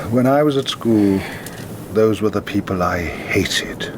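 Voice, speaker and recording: A man's voice: fairly low pitch, slow, noisy background. An actor playing a wry, self-mocking, worldly man in a film drama who asks his questions in a dry, ironic way. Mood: probing.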